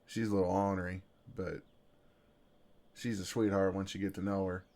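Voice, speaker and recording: Low-voiced, soothing Southern farmhand, acted by a man. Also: flat delivery, moderate pace, darkish tone. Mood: easy, teasing. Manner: easygoing, folksy, soothing drawl. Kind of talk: intimate ASMR roleplay acting.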